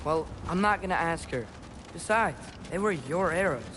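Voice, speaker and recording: A man plays a brave, headstrong teenage boy in a Norse myth video game. His voice sits high for a male, earnest and defiant, with clear ambient game noise behind it. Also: expressive, normal speed, lightly acted. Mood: stubborn. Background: game ambience and effects.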